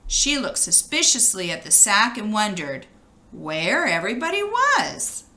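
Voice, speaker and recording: Animated, bright-voiced woman reading a storybook. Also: medium pitch, a little slow. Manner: animated, with brief asides to young listeners. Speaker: storybook reader. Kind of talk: expressive read-aloud of a children's storybook.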